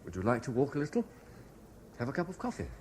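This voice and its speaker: A man's voice: medium pitch, wildly swooping pitch, fast, darkish tone, slight background noise. An actor with a British accent playing an earnest, troubled man in love, hesitant and probing, in a 1970s radio drama. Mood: tender. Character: earnest, lovestruck, troubled Frenchman, inquisitive and hesitant.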